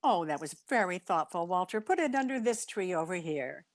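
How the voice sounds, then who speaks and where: A woman's voice: fairly low pitch, lively intonation, moderate pace, no room sound. A woman playing a wry, wisecracking single woman with dry, sardonic delivery in an old-time radio comedy. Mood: dry teasing. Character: Miss Brooks, wry, wisecracking single woman, a cat owner.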